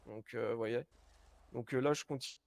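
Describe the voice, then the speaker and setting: Gravelly man, halting and frank. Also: medium pitch, steady tone, no room sound. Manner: hesitant, many fillers and restarts; frank, self-assured. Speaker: mayoral candidate in a roleplay. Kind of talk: casual roleplay press conference.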